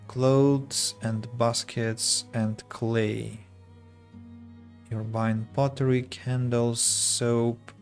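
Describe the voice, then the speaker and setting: Slow-talking Russian-accented man streaming a game. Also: mid-range voice. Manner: slow, careful wording with frequent restarts. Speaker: streamer and English teacher. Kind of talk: casual gameplay streaming chat.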